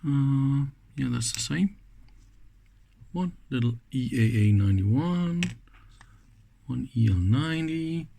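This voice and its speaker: A man's voice: medium pitch, lively intonation, takes it slowly, creaky, long pauses, warm tone, slight background noise. A man giving casual, unscripted hands-on commentary as an electronics hobbyist, musing aloud in short exclamations. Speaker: electronics hobbyist presenting a teardown.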